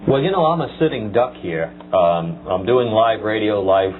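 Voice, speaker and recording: A man's voice: fairly low pitch, expressive, moderate pace, noisy background. Middle-aged man, very muffled tape sound. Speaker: talk show host. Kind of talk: live call-in talk show chat.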